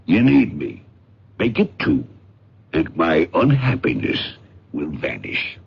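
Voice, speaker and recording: An actor playing a shrewd, grumpy stage illusionist turned crook in a radio drama, gravelly and sardonic. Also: male voice, a bass voice, huge pitch swings, slow, full character voice. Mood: haggling, sardonic. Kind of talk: old-time radio drama acting. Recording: dull, hissy old radio recording.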